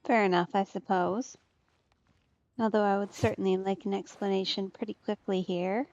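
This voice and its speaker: A woman's voice: mid-range voice, steady tone, moderate pace, darkish tone, no room sound, dull audio, faint music behind. A woman game streamer giving casual, offhand let's play commentary in short asides.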